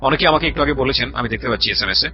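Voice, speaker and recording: A man with a Bangladeshi accent presents a radio storytelling show in measured, dramatic phrases. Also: mid-range voice, husky. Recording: dull lo-fi radio audio with music. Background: background music.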